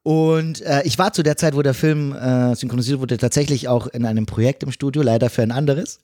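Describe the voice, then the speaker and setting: A man's voice: mid-range voice, fairly even intonation, fairly quick, warm tone, dry recording. Dry-recorded man, casual podcast guest. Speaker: podcast guest, stage and voice actor. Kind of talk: casual conversational chat.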